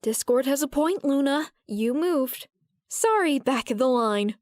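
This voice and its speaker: A woman's voice: high-pitched, expressive, unhurried, a little mellow, no room sound, studio quality. A woman voicing a composed, teasing elder pony princess from a cartoon, playfully firm in manner.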